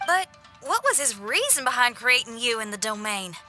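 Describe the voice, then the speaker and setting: Feisty young game girl, high and really bright, blunt and casual, some music under. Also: big pitch swings, moderate pace, full character voice. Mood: doubtful. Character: feisty, cautious young woman, protective and blunt. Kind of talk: video game voice acting. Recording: music behind the voice.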